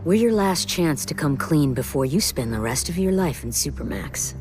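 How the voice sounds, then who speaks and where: A woman's voice: deep voice, lively intonation, moderate pace. A woman playing a tough, sardonic street racer in a game cutscene, talking in a dry, confrontational way. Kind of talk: video game cutscene acting. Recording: dramatic music behind the voice.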